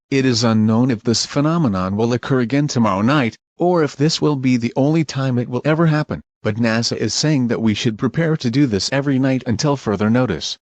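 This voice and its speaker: A man's voice: mid-range voice, no echo. Guy reading a fake emergency alert, formal and urgent, no room sound at all. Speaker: emergency broadcast announcer.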